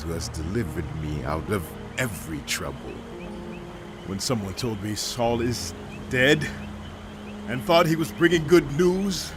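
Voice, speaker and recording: A male actor playing a grieving, righteous warrior king in a dramatized scripture, with slow, solemn declamation. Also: medium pitch, close to an everyday voice.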